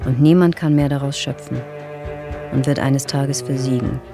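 A performer playing a solemn reader of last notes in a radio play, with a gravelly voice and measured, poetic delivery. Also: medium pitch, steady tone, over music, lightly acted. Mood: mournful, resigned.

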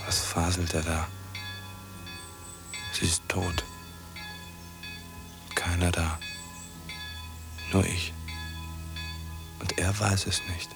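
A man's voice: low-pitched, level tone, extremely slow, creaky, long pauses, over music, heavily acted. A man playing a brooding, accusing mourner at a graveside in a German radio play, with an urgent, reproachful manner. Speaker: actor in a radio drama.

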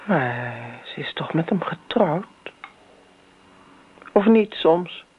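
Devoted middle-aged daughter, unhurried, earnest, coaxing, radio drama, tinny phone-like old recording. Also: female voice, deep voice, expressive, husky, full character voice. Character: devoted grown daughter, earnest and insistently admiring.

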